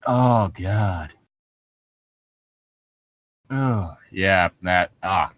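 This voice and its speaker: A man's voice: deep voice, extremely slow, a bit bright, no echo, studio quality. A man co-hosting with casual comedic commentary, joking and swearing freely. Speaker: co-host.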